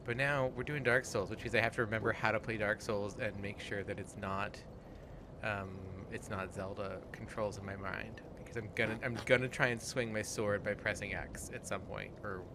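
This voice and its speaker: A person with a man's voice hosting a casual gaming let's play, talking in a halting way and swearing freely. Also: lowish voice, steady tone, noisy background. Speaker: host and player of a gaming show.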